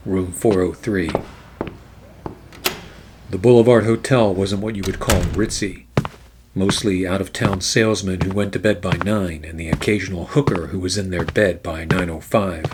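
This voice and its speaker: A man playing a weary, wry 1940s private eye in a noir radio play, wisecracking and narrating in a deadpan manner. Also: low voice, noisy background, natural voice.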